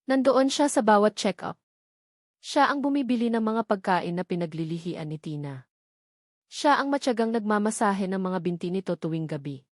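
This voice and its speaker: A woman's voice: mid-range voice, darkish tone, no room sound. Dry-sounding woman narrating a romance drama.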